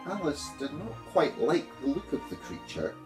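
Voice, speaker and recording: A man reading a children's story aloud in a measured, expressive way. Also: a little high, echoey room, over music. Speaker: storybook reader, primary school teacher.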